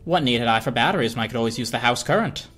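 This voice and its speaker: Woman as a low, mournful storybook radio. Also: lively intonation, very fast, fairly bright tone, soft background music, full character voice. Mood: wistful, sad. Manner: sighing, mournful. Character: wistful, easily hurt old radio.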